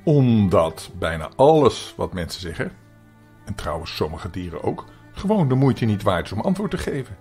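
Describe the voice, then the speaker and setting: A man's voice: fairly low pitch, very animated, moderate pace, a little mellow, faint music behind. A man voicing a dignified, easily affronted female cat in a children's story, articulating very precisely and primly. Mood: weary disdain. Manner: prim, extra clearly articulated.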